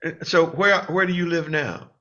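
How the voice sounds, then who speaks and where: A man hosting an interview who talks haltingly and repeats words as he starts a sentence. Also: a little high, pushed and bright, dull audio. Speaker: interview host. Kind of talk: casual interview.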